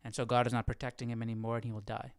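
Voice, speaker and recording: A man hosting an informal livestream Bible study, reading aloud and thinking out loud in a musing, hesitant, self-questioning way. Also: medium pitch, completely flat delivery, rapid-fire, slight background noise.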